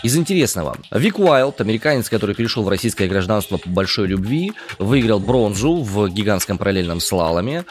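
Male news podcast host, lower voice with a lively swing, chatty delivery. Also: a little fast, hardly any pauses. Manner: lively, chatty, rises in pitch for emphasis.

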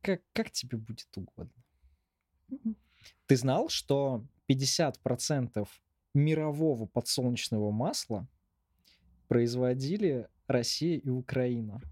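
A man's voice: mid-range voice, expressive, measured pace, a little mellow, no room sound. Dry-sounding guy in casual chat. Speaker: podcast co-host.

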